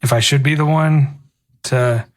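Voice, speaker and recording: A man's voice: medium pitch, measured pace. Easygoing man in casual podcast chat. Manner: relaxed, easygoing, lots of "um" and "like". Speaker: podcast co-host.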